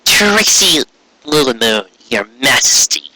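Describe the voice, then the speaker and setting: A man voicing a brave but anxious young female unicorn apprentice, earnest and halting, in a fan fiction audiobook. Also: mid-range voice, big pitch swings, pushed and bright, dry recording, full character voice, poor audio. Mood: resigned, fearful.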